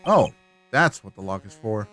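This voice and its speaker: A low-voiced young lawyer from a video game, acted by a woman. He is polite but awkward, stumbling hesitantly and slipping into wry, muttered asides. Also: lively intonation, moderate pace, a bit bright, soft background music. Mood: wry, uneasy.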